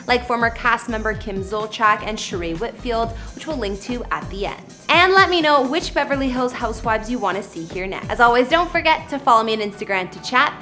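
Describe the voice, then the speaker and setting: A woman's voice: a little high, expressive, brisk, hardly any pauses, bright, forceful tone. A young woman hosting a celebrity house-tour show, reading a scripted narration in an upbeat, chatty presenter style, recorded in a studio. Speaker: host. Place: studio against a plain backdrop. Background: faint background music.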